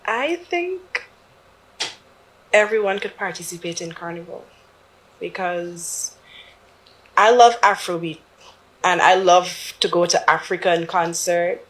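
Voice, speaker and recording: A woman's voice: lowish voice, lively intonation, slow pace, pauses often, slight background noise, low-quality recording. A Guyanese woman chatting casually as a guest, informal and slangy. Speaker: talk show guest. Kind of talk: casual chat.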